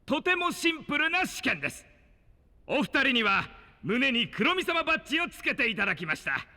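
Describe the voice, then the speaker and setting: An actor playing a theatrical, cheery exam master, announcing formally and showily in a fantasy audio drama. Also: male voice, very high-pitched, very animated, moderate pace, bright, forceful tone, heavily acted. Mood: showy. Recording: long hall reverb.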